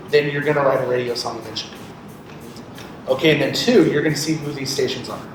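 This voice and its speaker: A man in his late thirties giving an informal instructional talk to a group, explaining conversationally and checking in with short questions. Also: mid-range voice. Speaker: radio programmer, guest speaker. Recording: echoey room, distant mic, loud background noise.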